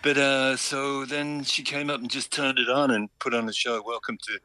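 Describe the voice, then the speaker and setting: An Irish man, a musician and radio guest, telling stories in a relaxed, self-deprecating way with a chuckle. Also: medium pitch, normal speed, dry recording. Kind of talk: phone-in radio chat.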